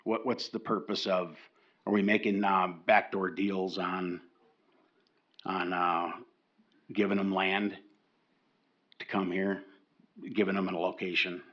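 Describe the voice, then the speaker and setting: Slow, halting older man at a meeting. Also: deep voice, level tone, highs cut off. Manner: halting, repeating words and restarting. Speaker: public commenter. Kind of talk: public comment at a county meeting. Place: county commission meeting room.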